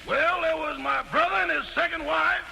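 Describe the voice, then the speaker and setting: An easygoing valet on a 1940s radio comedy, whose very high voice is heavily gravelly and full of fry. He talks in a wry, unhurried way. The old broadcast carries clear hiss. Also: fairly even intonation, sharp tone, exaggerated voice, low-quality recording. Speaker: valet phoning his boss.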